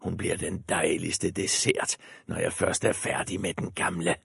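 A man doing a hushed, scheming wolf. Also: low voice, level tone, vocal fry, slightly rough, no echo, clean studio sound, full character voice. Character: sly hungry male wolf, soft-spoken villain.

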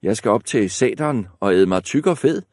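A man's voice: lowish voice, lively intonation, darkish tone, no echo, clean studio sound. A man voicing the middle billy goat in a firm, somewhat raised voice. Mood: bargaining. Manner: firm, less thin than the smallest goat.

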